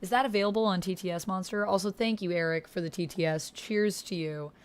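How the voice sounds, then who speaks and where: A woman's voice: medium pitch, brisk, smooth. A woman hosting a livestream, chatting informally, thinking aloud and reading on-screen text. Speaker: livestream host and player.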